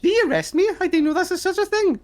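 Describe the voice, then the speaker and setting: A British woman with a very high, rapid voice, teasing the officers who arrested her. She talks in a cheeky, flirty way, full of mockery. She speaks through a headset mic. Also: lively intonation. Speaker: roleplay suspect in custody. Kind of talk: cheeky playful roleplay.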